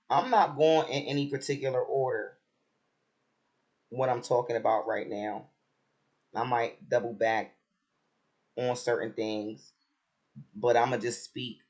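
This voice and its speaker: Slow-talking raspy man. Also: mid-range voice. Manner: relaxed, rambling, many fillers and restarts. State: just woken up. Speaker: reality TV commentator. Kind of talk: casual chat about a TV episode.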